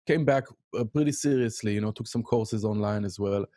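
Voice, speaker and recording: A middle-aged Israeli-accented man chats casually as a podcast guest. He speaks in halting bursts with many fillers, and his pitch jumps up often. The recording is dry and close. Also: dark tone, no echo. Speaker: podcast guest, music educator. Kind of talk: casual interview chat.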